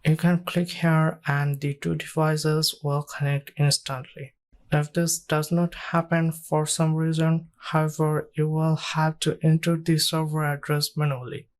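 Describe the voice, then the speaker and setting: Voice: mid-range voice, flat delivery, measured pace. A narrator reading scripted step-by-step tech tutorial instructions in a plain, instructional way.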